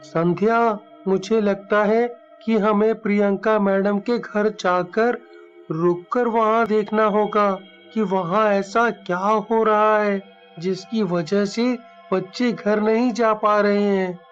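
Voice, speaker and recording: A woman playing an earnest, deliberate schoolboy in a horror story, concerned for those around him. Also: medium pitch, fairly even intonation, dull audio, faint music behind, close to an everyday voice. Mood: insistent. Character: earnest schoolboy, worried but trusting, reassuring.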